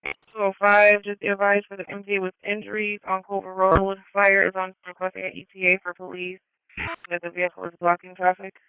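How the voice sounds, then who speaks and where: A woman dispatcher speaks over a police radio with a very crisp, clear voice. The sound is dry and close, and she relays details in clipped, procedural phrases at a middling pitch. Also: completely flat delivery, brisk, sharp tone, no echo, rough recording. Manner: clipped, matter-of-fact procedural delivery. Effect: two-way radio. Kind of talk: radio dispatch, giving incident details and acknowledgements. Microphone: dispatch radio microphone.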